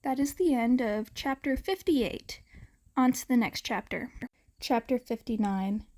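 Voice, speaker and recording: A woman reading fiction aloud as an expressive story narrator. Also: a little high, unhurried. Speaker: podfic narrator.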